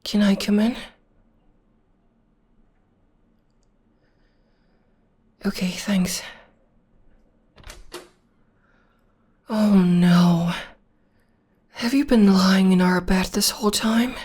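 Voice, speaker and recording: Woman playing a gentle whispering witch girlfriend. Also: medium pitch, fairly even intonation, measured pace, husky. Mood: concerned. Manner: soft, often whispered, soothing. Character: gentle young witch girlfriend comforting her demon partner. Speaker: voice actress. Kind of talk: ASMR audio roleplay.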